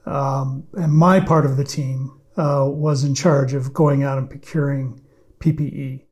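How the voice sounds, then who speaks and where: A man, a podcast guest, speaking with a raspy voice from allergies and explaining things in a measured way with some fillers. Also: mid-range voice, fairly even intonation, a little mellow. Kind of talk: conversational interview answers.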